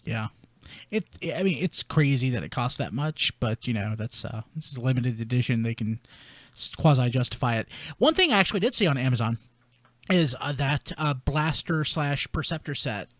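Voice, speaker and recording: A man with a mid-pitched voice hosts a casual show. He talks informally and with enthusiasm. The sound is very dry, with no room on it. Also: expressive, moderate pace, no echo. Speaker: podcast host. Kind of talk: casual chat.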